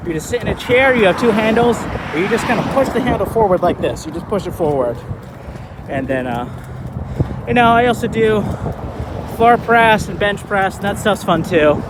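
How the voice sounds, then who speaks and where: A man in his thirties talking casually in a vlog, relaxed and rambling with lots of fillers, recorded outdoors while skating. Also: sits high, normal speed, background noise. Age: 30s. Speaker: vlogger.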